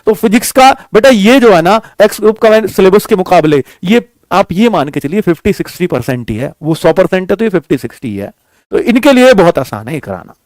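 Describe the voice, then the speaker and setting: A man's voice: sits high, animated, fairly quick, darkish tone, no room sound. A man giving an informal coaching talk, explaining things to students and checking in with them often. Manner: explanatory, addresses students as children, frequent check-in phrases. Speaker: coaching teacher and channel host announcing a class.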